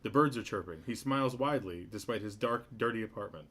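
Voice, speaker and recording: Host reading stage directions; man, lowish pitch, brisk and plain. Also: fairly bright tone. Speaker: host and narrator of a table read.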